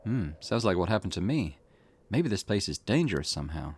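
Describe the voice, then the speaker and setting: A cautious young man from a visual novel, voiced by the player himself. He talks firmly and protectively, with some lift above a low base. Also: very animated, fairly quick, a little hiss or hum. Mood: puzzled. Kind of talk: reading game dialogue aloud.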